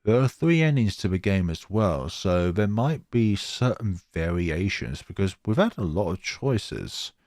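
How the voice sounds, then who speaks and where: A man reading a visual novel aloud in a theatrical, expressive way and adding his own playthrough commentary. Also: sits low, measured pace, few pauses, dry recording, clean studio sound.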